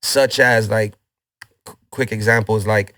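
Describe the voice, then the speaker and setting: Laid-back rapper from LA. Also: male voice, fairly low pitch, steady tone, measured pace, bright, forceful tone, dry recording, clean studio sound. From Los Angeles, Boyle Heights. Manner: laid-back street slang, many restarts. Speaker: rapper guest. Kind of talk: casual interview chat.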